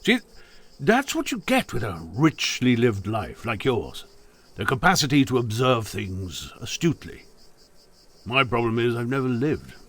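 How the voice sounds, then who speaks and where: An actor playing an ageing, grumbling retired court official who longs to live, in an audio drama. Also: male voice, medium pitch, sing-song, unhurried. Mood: wistful, yearning.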